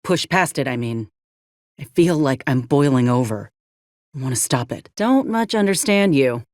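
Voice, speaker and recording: A woman's voice: rumbling low, animated, moderate pace, darkish tone, no echo, clean studio sound. An actress playing a frank, caring young woman soldier in a game, earnest and plain-spoken with folksy turns of phrase. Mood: frank, troubled. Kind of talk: video game companion dialogue.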